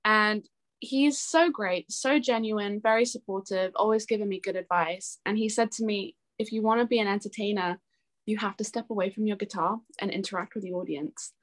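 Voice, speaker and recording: A woman's voice: mid-range voice, moderate pace. A young woman talking casually as an interview guest, telling anecdotes in a chatty, giggly way. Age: young adult. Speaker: interview guest, singer-songwriter.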